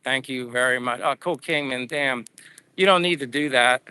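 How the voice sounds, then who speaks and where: A man's voice: medium pitch, moderate pace, bright, forceful tone, clear, resonant tone. A man with a Pennsylvania accent hosting a casual livestream, rambling upbeat and thanking viewers as he chats. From United States. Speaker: livestream host. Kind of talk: casual livestream chat reading viewer comments. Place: living abroad.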